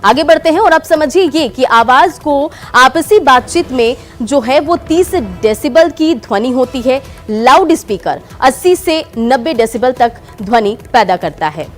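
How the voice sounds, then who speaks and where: High-pitched woman news anchor, music behind. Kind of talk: scripted news narration with live anchor hand-off.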